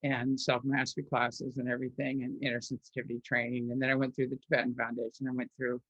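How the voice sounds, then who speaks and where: A man interviewed as a guest, telling personal stories in a rambling way, restarting often and filling pauses. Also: medium pitch, completely flat delivery, a bit bright, dry recording, muffled recording. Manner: rambling, with frequent restarts and filler words.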